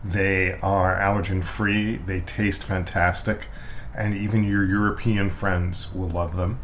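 A man's voice: low voice, flat delivery, a little slow, a bit bright, slight background noise. An American man hosting a podcast, talking in a chatty, enthusiastic way and reading his own ads. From United States. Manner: chatty, lots of "uh" fillers, enthusiastic. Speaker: podcast host. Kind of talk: casual interview and ad read.

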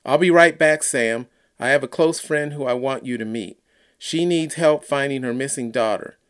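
A man voicing a calm, reassuring adult woman with a measured, advising manner in an audiobook. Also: medium pitch, clear, resonant tone, lightly acted. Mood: businesslike.

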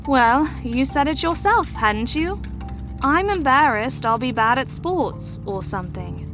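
Shrewd female mentor, audio drama, fairly high voice, measured, teasing, probing, music clearly behind. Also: animated. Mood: shrewd, needling. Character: shrewd, teasing adult mentor to troubled teens.

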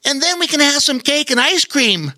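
Bobo Chico, a man doing a squeaky, eager little storybook buddy. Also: normal speed, exaggerated voice. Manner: excited questions, stammering starts.

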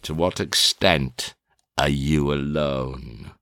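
A man playing a cool, probing interrogator in a theatrical drama, questioning in a formal, deliberate, insinuating way. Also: extremely low pitch, a bit bright, no room sound, studio quality, full character voice.

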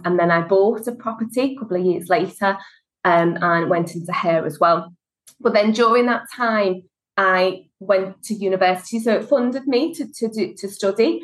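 A woman's voice: mid-range voice, rough recording. A woman from Liverpool with a Scouse accent, a guest in an interview, telling her story in a chatty way with lots of fillers. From Liverpool, England. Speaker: guest, HR consultancy director. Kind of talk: conversational interview.

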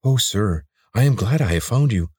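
A man's voice: fairly low pitch, lively intonation, moderate pace, dark tone, slightly rough, no room sound, clean studio sound, voice pushed up. A man voicing a lizard servant who speaks stiffly and formally without contractions. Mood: urgent.

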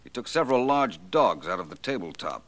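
A man playing a suave, self-assured amateur detective in 1940s radio style, crisp, theatrical and knowing. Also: fairly low pitch, big pitch swings, brisk, fairly bright tone. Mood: confident, knowing. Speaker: radio actor in the lead detective role.

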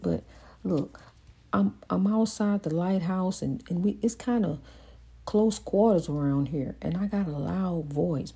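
A woman's voice: on the low side, dark tone, slightly rough. A woman hosting her own motivational program, giving a rambling, faith-filled monologue that repeats phrases for emphasis, recorded indoors. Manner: rambling, with many fillers and restarts. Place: indoors at home.

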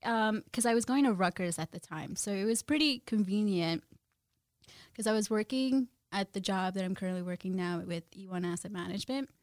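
A woman's voice: medium pitch, a little fast, no room sound, studio quality. Bubbly young woman guest, laughing often. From Guyana. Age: 20s. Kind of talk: casual interview chat.

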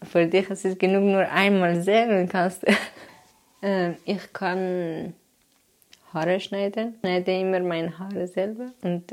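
A woman from Afghanistan telling her plans in halting German, pausing often to search for words. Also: fairly low pitch, a little mellow. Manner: hesitant, searching for words with many pauses. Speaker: interviewee. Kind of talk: halting personal account in learner German.

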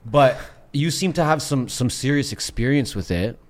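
A young man co-hosting a podcast in casual chat, hyped and quick to laugh. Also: medium pitch, animated. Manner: hyped, laughs often, eggs the guest on. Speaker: podcast co-host.

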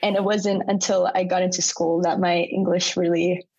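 Husky young American woman, chatty. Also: on the low side, level tone, moderate pace, a little mellow, dry recording, lo-fi sound. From United States. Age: young adult. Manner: chatty, laughs often between remarks. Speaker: interviewee. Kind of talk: casual conversational interview.

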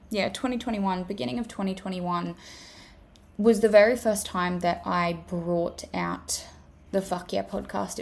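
Australian woman, podcast host, gravelly fry, casual sweary home-recorded chat. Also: lowish voice. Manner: chatty, swears freely, lists in repeated phrases. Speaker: podcast host and life coach. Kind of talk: casual solo podcast monologue. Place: home room. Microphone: simple home setup.

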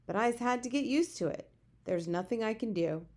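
Gentle male giant for a children's storybook, performed by a woman: resigned, muddled grammar, invented words. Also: fairly high pitch, lively intonation, brisk. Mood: resigned, sad. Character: big friendly giant, male, gentle, gloomy, word-mangling. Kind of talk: children's storybook character reading.

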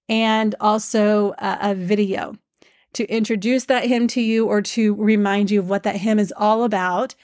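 A woman with a stuffed-up nose hosting a devotional hymn podcast, speaking in a gentle, teacherly way with frequent fillers. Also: mid-range voice, dry recording, clean studio sound. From Iowa, USA. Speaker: podcast host.

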